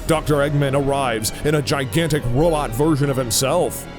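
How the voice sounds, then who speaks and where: A man's voice: medium pitch, normal speed. A man narrating a scripted audio drama story. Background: music.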